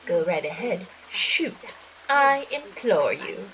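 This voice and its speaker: A woman's voice: mid-range voice, big pitch swings, slow pace, a bit bright, slight background noise, low-quality recording. A woman voicing a scheming flapper cult priestess who gloats in theatrical 1920s slang, in an audio drama. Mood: mocking.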